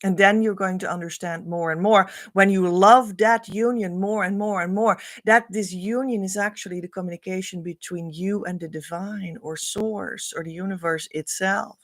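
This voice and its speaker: A woman whose first language is Dutch, speaking English with a Dutch accent, teaches spiritual values on a livestream in an earnest, repetitive, flowing way with soft trailing phrase ends. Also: mid-range voice, steady tone, normal speed, hardly any pauses, no echo, clean studio sound. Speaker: spiritual coach.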